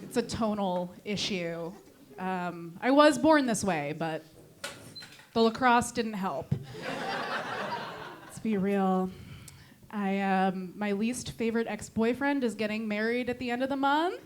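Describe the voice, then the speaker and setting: A woman's voice: medium pitch, unhurried, pauses often, a little hiss or hum. A young woman from Connecticut doing a stand-up set on a club stage, dry and self-deprecating, with a Connecticut accent. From New England, Connecticut. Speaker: stand-up comedian.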